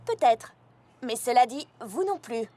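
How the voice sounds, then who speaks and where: A woman's voice: a little high, expressive, measured pace, background noise. A woman voicing a kind, orderly young pony princess who teaches magic, composed and articulate, in a French cartoon dub. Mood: disappointed.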